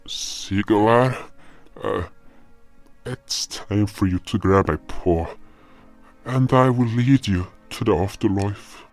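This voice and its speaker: A gentle guardian beast of the dead, acted by a man in an audio drama. He speaks slowly and haltingly at a middling pitch. Soft music sits behind him. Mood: gentle, solemn.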